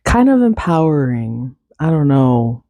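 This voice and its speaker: A man's voice: a little high, very animated, a little slow, dark tone, dry recording. A man hosting a podcast, chatting casually on his own and thinking aloud as he hunts for the right words. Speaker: podcast host. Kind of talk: casual solo chat.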